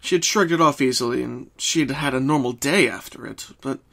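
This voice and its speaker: Male narrator, medium pitch, solemn, deliberate, dramatic emphasis, scripted storytelling. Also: vocal fry, a bit bright.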